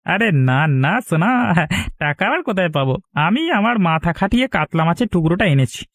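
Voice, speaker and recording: A greedy, sly cartoon villager, voiced by a man, with a high voice and quick chatter in a dry recording. The bumbling husband wheedles, repeats himself and gets flustered easily. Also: lively intonation, a bit bright, dry recording. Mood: excited, pleased. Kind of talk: Bengali cartoon voice acting.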